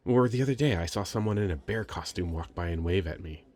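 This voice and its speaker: A man playing a very shy, sweet young nerdy writer in an ASMR roleplay, hesitant, stammering and soft-spoken. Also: sits low, fast talker, natural voice. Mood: warming up, chatty. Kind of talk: ASMR roleplay, addressing the listener.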